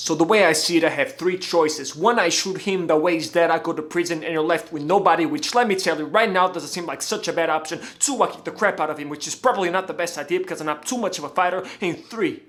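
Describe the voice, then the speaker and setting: A man acting a wounded, creaky-voiced fiancé. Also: medium pitch, natural voice. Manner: earnest, insistent. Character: wounded, jealous young fiancé, pleading yet proud. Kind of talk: romantic drama monologue.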